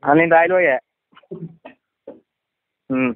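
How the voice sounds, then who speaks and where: A man's voice: a little high, slow pace, dry recording. A man with a Telangana Telugu accent chats casually on the phone, blunt, wary and full of questions. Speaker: friend receiving a prank phone call. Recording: tinny, dry, band-limited phone line.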